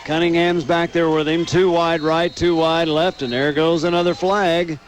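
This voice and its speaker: A man's voice: fairly high pitch, level tone, moderate pace. An American man doing live radio play-by-play commentary of a football game.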